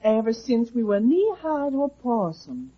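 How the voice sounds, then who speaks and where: A woman's voice: fairly high pitch, very animated, moderate pace, slightly rough. An actress playing a coy, sugary, evasive Southern woman with an American Southern accent in a vintage radio drama. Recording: muffled vintage radio recording.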